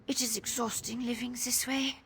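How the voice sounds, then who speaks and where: A woman's voice: fairly high pitch, flat delivery, moderate pace, fairly bright tone. A woman playing a wary, downtrodden young Nord informant in a video game, telling things in a guarded, world-weary way. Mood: weary, hopeful. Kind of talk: video game dialogue acting.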